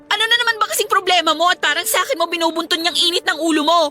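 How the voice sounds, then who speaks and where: Radio drama: plaintive young Filipino woman, wronged girlfriend, piercingly high voice over faint music. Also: very fast, sharp tone. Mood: defensive, pleading. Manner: whiny, plaintive. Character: tearful, pleading young female student, wronged girlfriend.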